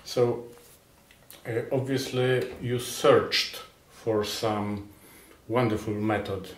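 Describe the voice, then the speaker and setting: A man interviewing a guest, asking questions in a halting, hesitant way. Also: on the low side, steady tone, a little hiss or hum. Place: bright studio room, indoors. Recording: roomy studio echo.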